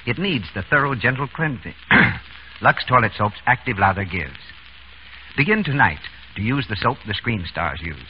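A man's voice: mid-range voice, animated, normal speed. A man announcing a scripted 1930s radio advertisement in a polished, persuasive, formal way. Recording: dull, faintly noisy 1930s radio broadcast.

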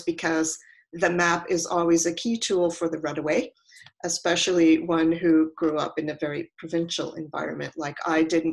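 Older woman on a panel, low voice, pretty flat pitch, measured and thoughtful delivery. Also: vocal fry, low-quality recording. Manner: measured, deliberate, long considered sentences. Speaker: panelist, scholar and writer.

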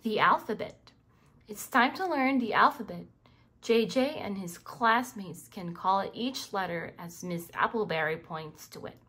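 A woman reading a children's book aloud in an expressive way, speaking directly to young listeners. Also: mid-range voice, unhurried, a little hiss or hum. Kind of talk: scripted read-aloud narration for young children.

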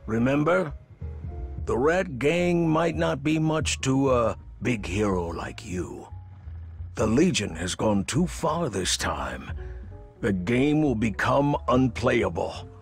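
An actor playing a shrewd rat gang boss in a video game, measured and self-assured, with a gravelly voice. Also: male voice, medium pitch, animated. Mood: calculating. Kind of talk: video game cutscene voice acting. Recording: game audio with faint music behind.

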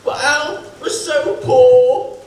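Man acting a very high-pitched melodramatic panto character. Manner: declamatory, drawn-out. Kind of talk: British pantomime stage acting. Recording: very echoey theatre from far off, loud music.

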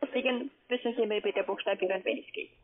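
A woman call centre agent, not a native speaker, giving polite, halting instructions over the phone. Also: mid-range voice, steady tone. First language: not German. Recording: rough narrowband phone line.

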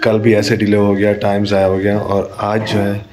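A man's voice: lowish voice, monotone, moderate pace, crowd noise. A man in his forties narrating a family vlog to a handheld phone camera, chatting his way through every step of the day. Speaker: family vlogger. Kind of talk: casual running vlog commentary. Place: in a car, at home and in a supermarket. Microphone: phone camera held at arm's length. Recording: noisy handheld phone audio.

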